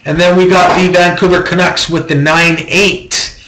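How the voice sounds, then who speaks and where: A man's voice: high voice, level tone, normal speed, a bit bright. A man hosting a card-break auction, giving methodical, repetitive running commentary on a card deal in a small room. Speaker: host of a trading-card box-break auction. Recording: roomy echo.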